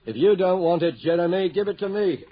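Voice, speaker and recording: An actor playing a genial, skeptical family father with an animated, teasing manner, in an old-fashioned radio drama style. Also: high voice, fairly quick. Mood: eager, teasing. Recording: dull, noisy radio drama recording.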